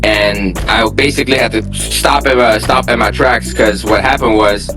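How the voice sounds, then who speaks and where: A man's voice: fairly low pitch, steady tone, normal speed, over music. A young man from Armenia, a real estate investor, telling his story in a candid, plainspoken interview. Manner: candid, plainspoken, lots of fillers.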